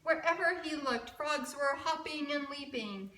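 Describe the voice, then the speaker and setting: Children's storyteller, female, bright voice and deliberate pace, recorded at a distance. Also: sits high. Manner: expressive, asks the young viewers questions. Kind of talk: animated read-aloud for children. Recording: roomy, from a distant mic.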